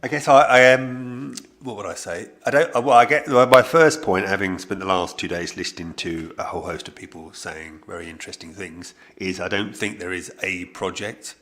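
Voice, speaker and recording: A man reflecting on an academic conference as a panelist, starting haltingly with many fillers. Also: sits low, fairly bright tone. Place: conference hall.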